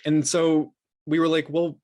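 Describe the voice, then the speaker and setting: A man's voice: a little high, monotone, a little slow, a little mellow, dry recording. Man, podcast guest, conversational, explanatory, frequent restarts, dry recording with little room sound. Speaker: interview guest, fiction podcast producer. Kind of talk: conversational interview talk.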